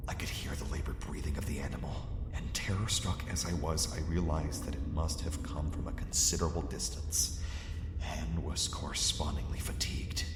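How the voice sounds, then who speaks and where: A man's voice: rumbling low, flat delivery, normal speed. A man acting a terrified lost explorer, narrating his ordeal in formal, theatrical gothic horror style. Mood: mounting terror. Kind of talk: dramatic first-person gothic horror narration. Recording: echoey cavern-like reverb, faint noise.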